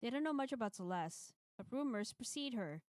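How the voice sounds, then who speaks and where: Brief: woman streamer, expressive story reader, blunt casual asides, dry close sound. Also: on the high side, brisk, dry recording. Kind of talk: reading a visual novel aloud on stream.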